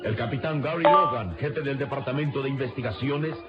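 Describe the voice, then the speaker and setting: A man's voice: medium pitch, brisk. Dramatic male narrator, echoey recording. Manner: solemn, suspenseful delivery. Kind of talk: dramatic scripted radio serial narration. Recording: muffled, echoey radio recording under loud music.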